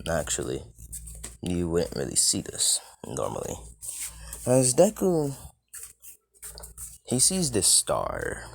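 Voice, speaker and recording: A man's voice: lowish voice, gravelly. A man narrating a fan-made story in a loose, chatty way, swearing casually and drifting into side remarks, with a scratchy voice.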